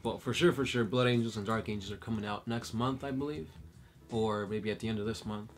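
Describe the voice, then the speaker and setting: A man's voice: on the low side, steady tone, a little fast, soft background music. A man co-hosting a casual chat and reading viewer questions, easygoing and thinking aloud with uhs.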